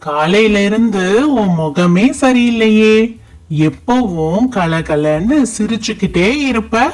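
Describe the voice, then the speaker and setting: A woman voicing a wise, caring young deer who patiently reassures and explains in a children's animated story. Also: mid-range voice, slow, lightly acted. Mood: concerned. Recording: music bed under the voice.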